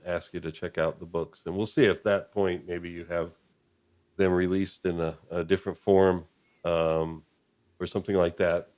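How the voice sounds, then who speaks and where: A man with a fairly low voice hosts a live stream. He chats casually and appreciatively, rambling a little and pausing as he gathers his thoughts. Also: a little mellow.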